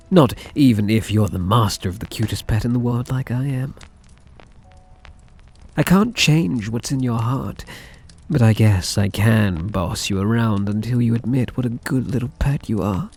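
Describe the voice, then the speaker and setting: A man playing a softly possessive, doting young mafia heir in an intimate ASMR roleplay, coaxing the listener tenderly. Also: lowish voice, husky.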